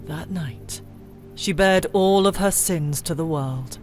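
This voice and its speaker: A woman narrating fantasy lore as a scripted dramatic story, with solemn, theatrical and deliberate phrasing. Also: lowish voice, darkish tone. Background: music bed.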